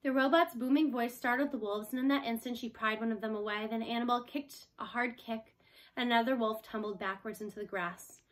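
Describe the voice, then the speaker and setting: A woman narrates a children's chapter book. Her voice sits a little high, and she reads expressively, as a storyteller would. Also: steady tone, a little fast, poor audio. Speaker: storybook reader.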